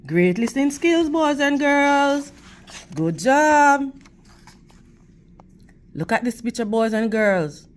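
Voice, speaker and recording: A woman reading a picture book aloud to children like a teacher, in deliberate, measured phrases. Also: high voice, animated. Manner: deliberate, measured phrasing with questions and praise addressed to young listeners.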